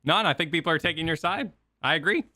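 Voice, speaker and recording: Male gaming co-host, sharp-edged low voice, dry close sound, measured and opinionated in casual discussion. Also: no room sound. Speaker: co-host of a gaming reaction stream.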